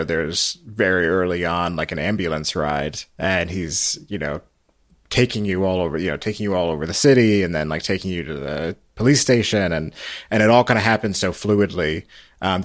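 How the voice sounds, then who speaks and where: A man hosting a podcast interview in a casual, conversational way, hedging his points with frequent little asides. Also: sits low.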